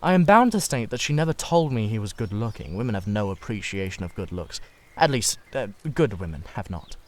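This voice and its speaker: A man's voice: on the low side, big pitch swings, a little fast. A man voicing a witty, cynical, self-satisfied Victorian aristocrat in a dramatised reading of a classic novel, with a theatrical manner. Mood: animated, amused.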